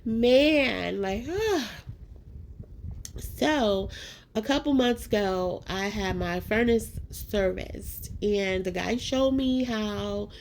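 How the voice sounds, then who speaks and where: A woman's voice: mid-range voice, animated, drawn-out words, creaky, darkish tone, a little hiss or hum. Chatty nasal woman crafter. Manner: chatty and self-deprecating, many ums and likes, addresses viewers as y'all and guys. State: nasal from allergies. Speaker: craft vlogger. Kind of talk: casual voice-over narrating a craft project.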